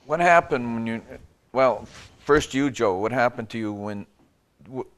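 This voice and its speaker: An older man, mid-pitched, interviews his guests in a friendly way. His questions often start, stop and restart. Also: animated, unhurried. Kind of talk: conversational interview.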